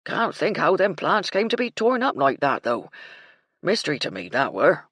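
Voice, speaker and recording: A woman voicing a humble male groundskeeper with rough country grammar, deferential in manner. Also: low-pitched, sing-song, normal speed, a bit bright, no echo, exaggerated voice. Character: rustic humble middle-aged male groundskeeper.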